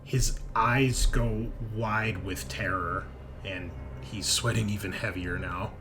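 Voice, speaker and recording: A man with a fairly low voice runs a tabletop horror game as game master. He improvises the narration in short, suspenseful phrases, with faint noise behind him. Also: fairly even intonation, slow pace.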